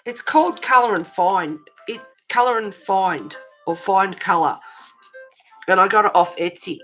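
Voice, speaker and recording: A woman with an Australian accent and a creaky voice, chatting informally and encouragingly as the host of a casual live stream, rambling a little. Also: mid-range voice, measured pace, fairly bright tone, soft background music, rough recording. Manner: rambling, informal, encouraging, addressing viewers as guys.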